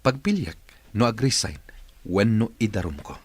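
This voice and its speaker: A man playing a stern, scheming boss in an audio drama, husky and curt in the way he gives orders. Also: lowish voice, very animated, normal speed. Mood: firm, calculating. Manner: curt, commanding. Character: stern, scheming male boss using a wheelchair. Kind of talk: Ilocano audio drama acting.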